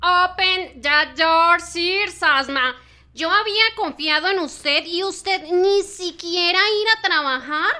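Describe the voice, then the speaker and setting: A woman's voice: very high voice, slow pace, sharp tone, heavily acted. A woman playing a stern, pompous, suspicious boss in an amateur radio play. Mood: accusing.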